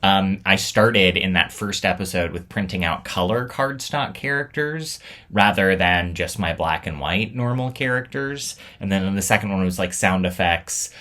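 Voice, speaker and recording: A man's voice: low-pitched, normal speed, pushed and bright. A man talking casually and reflectively, as the host looking back on his own storytelling show. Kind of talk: casual reflective vlog talk.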